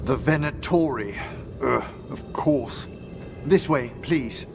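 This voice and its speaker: An actor playing a courteous, scholarly older necromancer in a video game, with a formal, precise, theatrical manner. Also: male voice, medium pitch, slow, gravelly. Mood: brisk, assured. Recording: music behind the voice.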